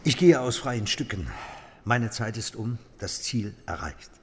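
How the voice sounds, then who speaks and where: An actor in a radio drama playing an evasive, self-important politician who speaks haltingly and ingratiatingly. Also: male voice, mid-range voice, lively intonation, noisy background, natural voice. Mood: composed. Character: evasive, ingratiating male politician with a guilty secret. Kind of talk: German radio drama acting.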